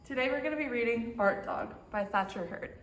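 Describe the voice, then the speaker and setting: A woman reading a children's storybook aloud as expressive story time narration. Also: on the high side, a little fast, soft background music. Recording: echoey room.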